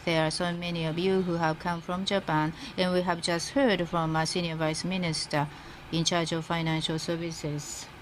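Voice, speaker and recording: A woman working as a simultaneous interpreter, rendering a formal speech live in halting phrases. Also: lowish voice, low-quality recording. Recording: interpreter feed with faint background noise.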